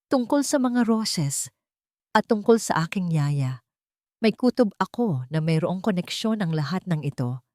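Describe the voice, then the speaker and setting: A woman's voice: on the low side, lively intonation, unhurried, dark tone, dry recording, studio quality. A woman voicing a troubled, guilt-ridden rich woman seeking the truth in an audio story. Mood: shaken, pleading.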